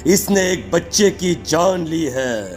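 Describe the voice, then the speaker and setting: A man playing a stern occult holy man in a horror cartoon, speaking gravely and accusingly. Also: a little high, expressive, takes it slowly, soft background music.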